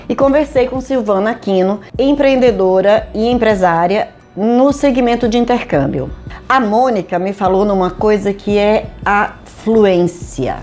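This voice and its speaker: A woman's voice: mid-range voice, a little mellow. A middle-aged Brazilian woman from Manaus with a local accent, an HR consultant speaking straight to her viewers in a conversational, emphatic way, full of rhetorical questions. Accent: Brazilian Portuguese, Manaus. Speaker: HR consultant and career advisor. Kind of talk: direct-to-camera talk addressing viewers. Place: home studio in Manaus.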